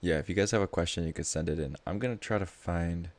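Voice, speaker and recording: A man's voice: low-pitched, fast talker. A man tutoring math live in a casual, informal way, thinking aloud as he explains.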